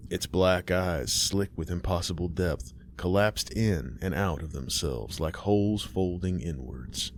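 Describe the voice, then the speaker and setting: A man narrating a horror story in a measured, ominous way. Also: low voice, slight background noise. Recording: music bed behind.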